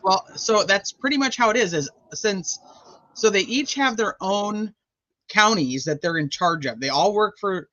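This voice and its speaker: A man co-hosting a loose live chat, rambling with animated commentary and frequent laughs. His voice is high and bright, and the recording sounds a little muffled and lo-fi. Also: a little slow, no echo. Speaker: co-host commenting on trial.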